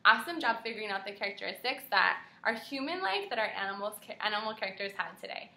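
A woman's voice: medium pitch, lively intonation, fast, creaky, sharp tone. A young woman, a kindergarten teacher, reading aloud and asking children questions in an encouraging, carefully articulated way. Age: young adult. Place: small room at home, indoors. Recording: roomy, echoing home video.

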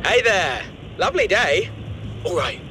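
An actor playing a chirpy, frantic mission organiser in a video game, comically jittery and over-cheerful. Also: male voice, high voice, big pitch swings, unhurried, noisy background. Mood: forced cheer. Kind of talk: scripted game dialogue.

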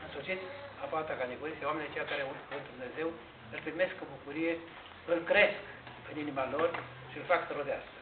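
An older man preaches in a large, echoing church, his fairly high voice bouncing around the room. He explains his points step by step, pausing and restarting as he goes. The sound is thin and phone-like, with a steady hiss underneath. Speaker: priest. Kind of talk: sermon. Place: large Orthodox church interior, indoors. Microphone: far camera. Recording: tinny, echoey church recording with hiss.

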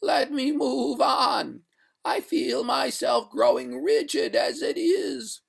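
A narrator voicing a genteel, haughty female water ghost in a storybook, pleading in drawn-out, breathy, melodramatic wails. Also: sits high, voice pushed up, heavily acted. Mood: desperate pleading.

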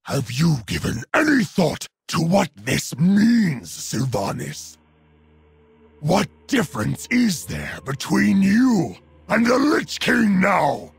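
Furious orc warlord, slow gravelly delivery, contemptuous, menacing, video game drama, music behind. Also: male voice, high-pitched, huge pitch swings, no echo, full character voice. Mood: disgusted, accusing. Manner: menacing, declamatory. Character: stern, angry male orc warchief, contemptuous and threatening.

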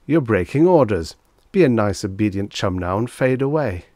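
A man's voice: fairly low pitch, expressive, moderate pace, mellow, no room sound, clean studio sound. A man voicing a brash, sarcastic, easily rattled man in a dramatised story reading, with blustering, exclamatory delivery. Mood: dismissive.

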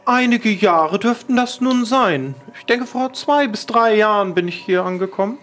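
A man playing a polite, formal, evasive manor butler in an improvised audio drama, measured and deferential. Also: high voice, animated, a bit bright. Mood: courteous.

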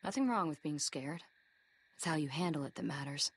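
A woman's voice: sits low, expressive, brisk, husky. An actress playing a tough, dryly reassuring woman survivor in a game.

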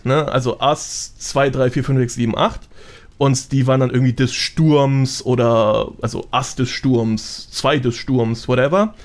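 Man chatting casually, medium-pitched. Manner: explanatory, slangy with English gaming loanwords. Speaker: podcast guest, gold-making gamer. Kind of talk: casual podcast chat.

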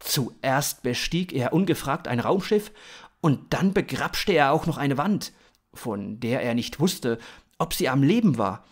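Slow, lively male storyteller. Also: medium pitch, breathy edge. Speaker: author-narrator of a story podcast. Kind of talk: scripted narration of comic science fiction.

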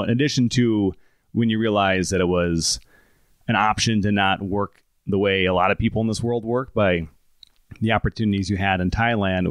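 A man's voice: lowish voice, no echo, studio quality. A man in his thirties hosting a podcast, asking long rambling questions with restarts. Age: 30s. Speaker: podcast host. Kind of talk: casual interview conversation.

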